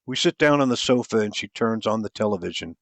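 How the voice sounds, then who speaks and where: A man's voice: fairly low pitch, expressive, fast talker, dry recording. A man narrating a first-person romance story as an audiobook reader, in a dramatic storytelling style.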